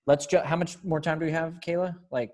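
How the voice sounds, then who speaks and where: A man's voice: a little high, steady tone, a little fast, a little mellow. A young man directing a readers theater group over a video call in a casual, encouraging way. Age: young adult. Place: indoor room by window, video call.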